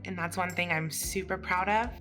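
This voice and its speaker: A woman's voice: on the low side, steady tone, moderate pace, a bit bright. A woman in her twenties, speaking thoughtfully and upbeat in an interview. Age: 20s. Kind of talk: reflective interview. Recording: music clearly underneath.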